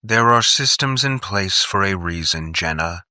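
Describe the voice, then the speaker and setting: A man voicing a cautious male doctor in an audiobook, measured and smooth, gently admonishing. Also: sits low, expressive, no echo, clean studio sound, heavily acted.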